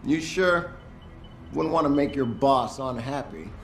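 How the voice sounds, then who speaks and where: An actor playing a jaded, sarcastic police tactical officer in a video game cutscene, with a needling manner. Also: male voice, medium pitch, a little slow, vocal fry, fairly bright tone, soft background music. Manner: sardonic, needling. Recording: roomy echo, faint ambient noise.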